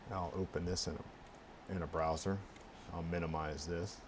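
Low-voiced man thinking aloud. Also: level tone, background noise. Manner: halting, unscripted, muttering through steps. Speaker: tutorial presenter. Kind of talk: casual screencast walkthrough, thinking aloud.

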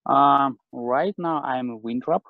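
A man's voice: medium pitch, slow pace, no echo. A man from Russia with a Russian accent, chatting loosely on a video call as a panelist photographer and filling his speech with "like". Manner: loose, filler-heavy speech with many "like"s. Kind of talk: casual video call chat. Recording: tinny video call audio.

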